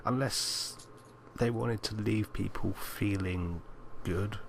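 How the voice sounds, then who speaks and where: A man's voice: fairly low pitch, fairly even intonation, slow pace, vocal fry. A man co-hosting a podcast, chatting casually about films. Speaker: podcast co-host. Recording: noisy amateur podcast recording.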